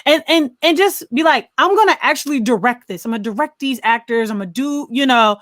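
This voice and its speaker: A woman co-hosting a podcast, talking through films casually in an animated, rambling, think-aloud way. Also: sits high, fairly quick, dry recording, clean studio sound. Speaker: podcast co-host. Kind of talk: casual film discussion.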